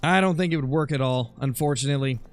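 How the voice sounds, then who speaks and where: A man's voice: medium pitch, quick pace, soft background music. A man streaming a game, chatting casually and thinking aloud as he answers viewers. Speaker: live streamer, gamer. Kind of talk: casual livestream chat over gameplay, answering viewer comments.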